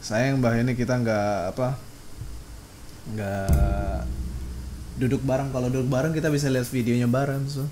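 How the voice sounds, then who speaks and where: A man's voice: medium pitch, steady tone, normal speed, long pauses, dark tone, slight background noise. Pria muda santai, rekaman agak berderau. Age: young adult. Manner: slangy, joking. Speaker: podcast host. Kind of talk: casual chat.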